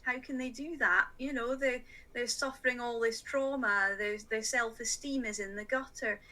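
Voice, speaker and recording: A woman's voice: on the high side, steady tone, moderate pace, bright, forceful tone. A middle-aged woman from Scotland who grew up in northeast England, a magazine editor speaking earnestly and emphatically as a podcast guest. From Scotland, raised in northeast England. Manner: earnest, emphatic, plain-spoken. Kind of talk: earnest interview talk.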